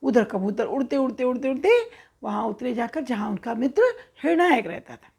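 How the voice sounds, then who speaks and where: Lady telling kids a story, high creaky voice, chatty, explains stuff and checks in. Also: a little fast, darkish tone. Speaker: children's storyteller. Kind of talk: animated conversational storytelling for children.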